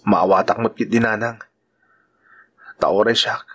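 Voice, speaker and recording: A man's voice: lowish voice, little pitch movement, takes it slowly, a bit bright. A man with an Ilocano accent acting a dutiful, kind younger brother and uncle in an audio drama. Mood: earnest, sad.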